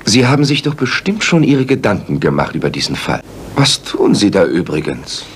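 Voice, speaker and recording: Worried proper gentleman in an audio play, lowish voice, really lively delivery. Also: normal speed, slight background noise. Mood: indignant. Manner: earnest, dramatic, polite. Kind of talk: German audio drama acting and narration.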